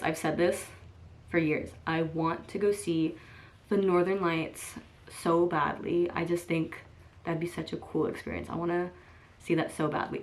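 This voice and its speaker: Chatty young woman vlogger, lower voice. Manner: chatty, lots of "like" and "um", laughs easily. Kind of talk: casual get-to-know-me chat.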